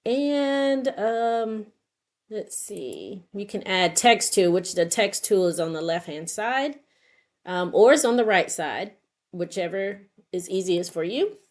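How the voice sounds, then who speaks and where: A woman's voice: mid-range voice, animated, slow pace. A middle-aged woman narrating an informal step-by-step tutorial, unscripted and thinking aloud as she works. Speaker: craft tutorial host.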